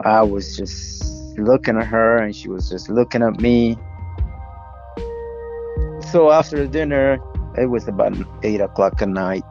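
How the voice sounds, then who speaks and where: A man with a Honduran Spanish accent and a low, gravelly voice talks very slowly, pausing as he searches for words in his second language. The audio is dull and lo-fi, with quiet music beneath. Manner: slow, halting, with pauses and soft laughs. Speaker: interviewee, widower. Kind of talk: personal recollection in an interview.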